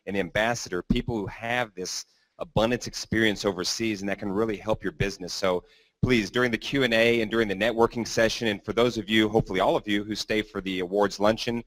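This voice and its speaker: A man's voice: fairly low pitch, no echo, rough recording. A man hosting a business conference, introducing speakers in a loose, rambling way with some fillers. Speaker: event host.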